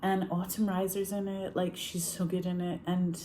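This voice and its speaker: A woman's voice: on the low side, flat delivery, moderate pace, dark tone, a little hiss or hum. A Scottish woman telling stories in a rambling, chatty way, laughing often and saying "like" a lot. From Scotland. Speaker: actress and filmmaker. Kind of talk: casual chat.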